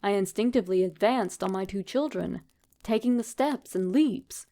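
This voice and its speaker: A woman reading a scripted horror story as a narrator, in a measured, dramatic manner. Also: mid-range voice, dark tone.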